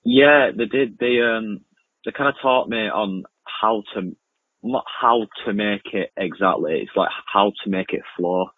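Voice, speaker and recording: Deep-voiced, husky young man. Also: unhurried. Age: young adult. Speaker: interview guest, amateur music producer and podcaster. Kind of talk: casual radio interview answers. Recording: muffled lo-fi, roomy echoing remote link.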